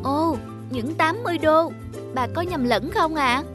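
Eager high-voiced young boy. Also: measured pace, over loud music. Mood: polite delight. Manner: bright, earnest, polite.

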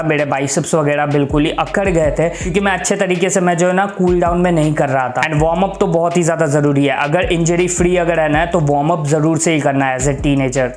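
Young guy, fitness vlogger, high voice, brotherly and earnest, roomy echo. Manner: earnest, brotherly, repeats "mere bhai" and fillers. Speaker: fitness YouTuber. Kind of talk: casual advice vlog.

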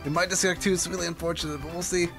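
A man's voice: on the high side, steady tone, very fast, creaky. A young man streaming a video game, reacting casually and rambling in loose asides. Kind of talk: casual live gameplay reaction. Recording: light game music behind. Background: video game music.

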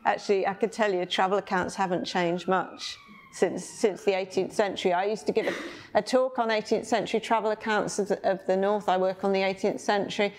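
A woman chairing a panel in an event room, lively and chatty, taking audience questions. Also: medium pitch, brisk. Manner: lively, chatty, laughs easily.

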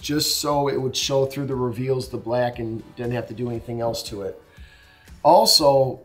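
A man in his forties talks through a panel installation in a large workshop, in plain, practical shop language, sometimes restarting a phrase. His pitch sits in an ordinary middle range, picked up on a clip-on mic. Faint music plays quietly underneath. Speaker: installation instructor. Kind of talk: hands-on how-to demonstration. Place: large indoor workshop with wood mock-up walls.

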